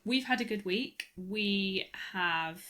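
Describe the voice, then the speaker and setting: A British woman chats casually as a podcast co-host. Her voice sits at an average female pitch, and she has a dry, wry sense of humour in how she talks. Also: takes it slowly, bright, forceful tone, a little hiss or hum. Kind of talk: casual chat.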